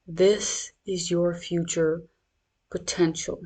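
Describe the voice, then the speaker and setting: A meditation guide speaking in a measured, reassuring, instructional manner. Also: medium pitch, level tone, a little mellow, slightly rough.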